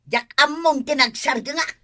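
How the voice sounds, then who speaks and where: An actress playing a bossy, gossipy village storyteller in a radio comedy drama, exaggerated and stubborn. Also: female voice, high-pitched, normal speed, sharp tone. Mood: bossy, huffy.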